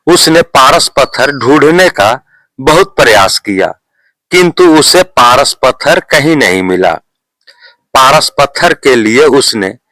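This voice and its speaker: A man narrating a scripted moral story as a storyteller, in a measured, deliberate manner. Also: medium pitch, pushed and bright, no echo, poor audio.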